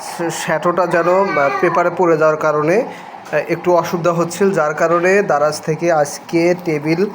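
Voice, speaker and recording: A man with a Bangladeshi accent gives casual, unscripted vlog narration, pausing hesitantly between short phrases. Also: fairly high pitch, fairly even intonation. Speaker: vlogger unboxing a product. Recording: noisy home video audio.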